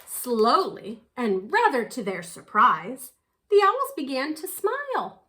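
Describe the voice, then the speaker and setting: Slow, animated woman reading a picture book. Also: sits high, slight background noise. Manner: animated, unhurried, dramatic emphasis. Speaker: children's story reader. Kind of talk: expressive picture-book read-aloud.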